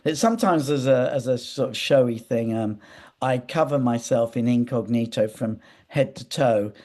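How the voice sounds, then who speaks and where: An interview guest, a man with a mellow, relaxed voice at an average pitch. He talks conversationally in rambling anecdotes, often repeating words and doubling back as he goes. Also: moderate pace. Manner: hesitant, repetitive, digressive.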